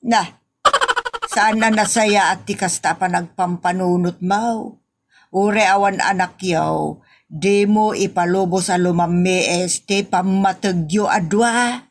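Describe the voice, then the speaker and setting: A woman's voice: medium pitch, fairly even intonation, takes it slowly. A woman voicing a young wife who pleads and scolds in a comedy family drama. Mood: pleading.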